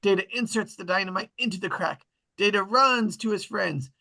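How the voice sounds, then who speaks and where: A man's voice: high-pitched, moderate pace, gravelly, pushed and bright. A man reading screenplay stage directions as scripted narration at a table read.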